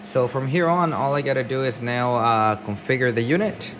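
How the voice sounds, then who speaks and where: A man's voice: medium pitch, normal speed. Man narrating a job site, background noise. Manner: informal, explanatory, addresses viewers directly. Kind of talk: casual on-site walkthrough. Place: work site, partly outdoors. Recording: noisy job-site ambience, vehicle noise.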